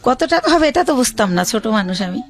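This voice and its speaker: A woman from Bangladesh telling her life story as a radio show guest, hesitant, with many pauses and fillers. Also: a little high, sing-song, soft background music.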